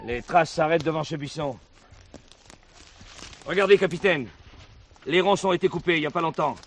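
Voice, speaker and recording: An actor playing an alert, eager gendarme who reports urgently in clipped phrases in a stage drama. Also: male voice, a little high, normal speed, natural voice. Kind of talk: French stage-play dialogue.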